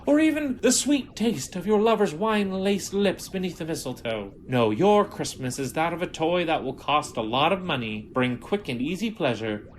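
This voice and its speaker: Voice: mid-range voice, lively intonation, normal speed, few pauses, slight background noise, close to an everyday voice. An actor playing an indignant, grandiose Martian rebel villain in an audio drama, speechifying in a theatrical, pompous way. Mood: indignant, impassioned.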